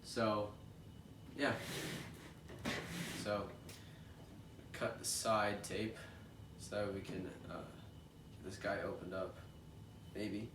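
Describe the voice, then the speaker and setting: A man's voice: on the low side, fairly even intonation, very slow, pauses often. Congested young man in an echoey room. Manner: rambling and chatty with frequent fillers. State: congested from allergies. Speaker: toy unboxing host. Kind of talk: casual unboxing chat. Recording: echoey room, distant mic, noisy background.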